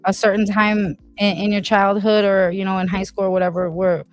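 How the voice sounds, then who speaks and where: A woman's voice: medium pitch, little pitch movement, a little fast, no room sound, faint music behind. Chatty woman podcast host, dry close sound. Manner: loose, filler-heavy, rambling questions. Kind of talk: casual podcast chat.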